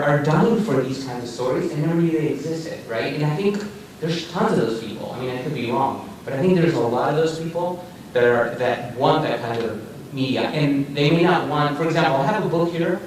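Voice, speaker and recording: A man's voice: a little high, expressive, moderate pace. A man from Argentina talking informally on a panel, unscripted, often checking in with his listeners. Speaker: comics marketer and panelist. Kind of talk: casual unscripted panel talk. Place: echoey room, recorded at a distance. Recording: very echoey room, distant mic, faint noise.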